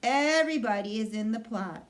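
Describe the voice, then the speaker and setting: A woman's voice: a little high, expressive, moderate pace, close to an everyday voice. A woman voicing a blunt, world-weary old female sheep in a storybook, matter-of-fact and a little snappish. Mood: grimly knowing.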